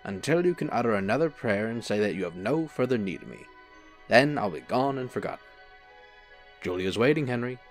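An actor playing a genial, unflappable angel in an audio drama, reassuring and playful. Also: male voice, medium pitch, very animated, brisk, soft background music. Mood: calm. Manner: smooth-mannered, reassuring, playful. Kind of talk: radio-play style drama acting.

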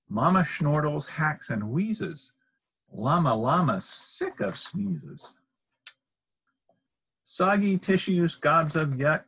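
Middle aged guy reading a kids picture book, kinda husky, slow and sing-songy. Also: a little high, fairly bright tone. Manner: deliberate, sing-song read-aloud delivery. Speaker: storybook reader. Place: small indoor room.

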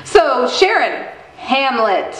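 A woman's voice: high-pitched, big pitch swings, slow, a little hiss or hum, exaggerated voice. A woman playing a polite, puzzled small-town interviewer in a radio show performed on a theater stage. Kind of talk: old-time radio show acting on stage. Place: theater stage before an audience. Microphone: stand microphone, camera at a distance. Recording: echoey live stage recording.

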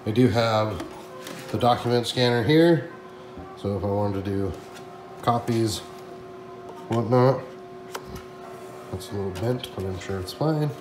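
A man in his thirties with a fairly low voice gives a chatty, excited walkthrough of his print shop on a handheld camera. His voice bounces around a big bare room, and music plays under him. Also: measured pace, long pauses. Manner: excited, chatty and explanatory, thinking aloud. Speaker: print shop owner and vlogger. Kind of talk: casual walkthrough vlog. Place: large echoey print shop room with concrete floor. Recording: echoey room with music over it. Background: background music.

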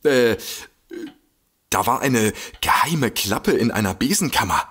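A man's voice: mid-range voice, animated, unhurried, a bit bright, breathy edge. An actor playing a sardonic, easily exasperated male detective in a comedic audio drama.

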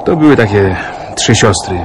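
A man's voice: on the low side, animated, normal speed, background noise, lightly acted. An actor playing an educated, brooding man in hiding in a wartime radio drama. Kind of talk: Polish radio drama acting.

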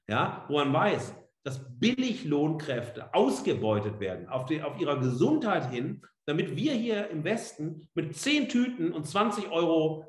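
A middle-aged man lectures like a professor on a video call from a roomy, echoing indoor space. His pitch moves around in a very animated way. He explains things conversationally but with emphasis. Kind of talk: online lecture, freely spoken. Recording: roomy, echoing room sound.

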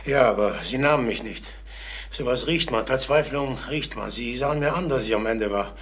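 A man playing a distraught travelling salesman near sixty in a German crime radio drama, halting and repeating himself as he broods. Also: mid-range voice, a bit bright, natural voice. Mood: brooding despair. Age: late 50s.

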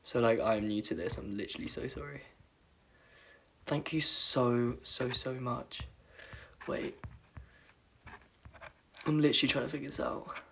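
A young man with a croaky voice chatting casually on a phone livestream from his bedroom at night, reading comments aloud and apologizing often. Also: medium pitch, unhurried, long pauses, fairly bright tone, slight background noise. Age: young adult. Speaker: livestream host. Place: dim bedroom at night, indoors. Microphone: phone camera at arm's length.

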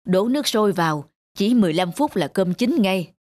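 An actress playing a kindly elderly mother-in-law in a cartoon, calm and gently directing, with a northern Vietnamese accent. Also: medium pitch, fairly quick, lightly acted. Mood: calm, practical. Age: older. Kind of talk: animated moral-tale dialogue.

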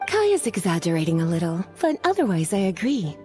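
A woman's voice: lowish voice, big pitch swings, brisk, darkish tone, faint music behind. A woman voicing a languid, flirtatious adult witch in a video game, coy and poised. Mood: composed, fond.

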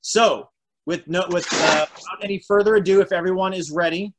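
A man's voice: high voice, fairly even intonation, brisk. An American man in his forties hosting and narrating a read-through over a video call from home. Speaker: host and narrator. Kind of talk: reading stage directions and trivia on a video table read. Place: room at home. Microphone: video call, computer at arm's length. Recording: noisy video call with clicks.

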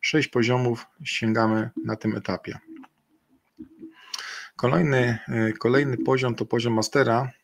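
A middle-aged man walks through slides in a webinar from a room at home, speaking into a webcam. His voice is gravelly, at an ordinary pitch, and he often stops and restarts with hesitant fillers. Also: fairly even intonation. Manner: halting, many fillers and restarts. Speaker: webinar presenter. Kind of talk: slide presentation. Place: indoor room. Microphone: video call webcam.

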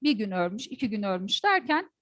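A woman's voice: mid-range voice, very animated, brisk, a bit bright, dry recording, clean studio sound. A woman storyteller telling a folk tale expressively, putting questions to her listeners. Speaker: teacher.

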